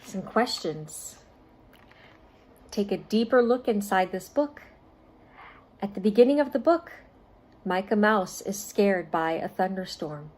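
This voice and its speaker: A woman reading aloud and talking directly to children as a storytime volunteer, in deliberate, carefully phrased speech with short pauses. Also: mid-range voice, expressive, rough recording.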